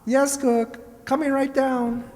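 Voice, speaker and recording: A woman's voice: high voice, flat delivery, takes it slowly, darkish tone. A woman playing a polite, earnest poor boy in an amateur radio play, hesitant and deferential. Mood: respectful, hesitant. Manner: deferential, hesitant, eager to please. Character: polite, earnest, humble poor boy seeking work in London. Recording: echoey hall, long reverb.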